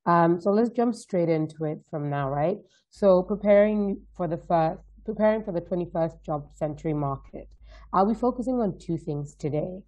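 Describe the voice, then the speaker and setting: A woman's voice: on the low side, moderate pace, mellow. A woman in her thirties from Denmark, giving an upbeat, direct webinar talk and often checking in with "right?". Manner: upbeat, direct, frequent tag questions. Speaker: guest speaker. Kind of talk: webinar presentation. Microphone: video call. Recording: dull lo-fi call audio.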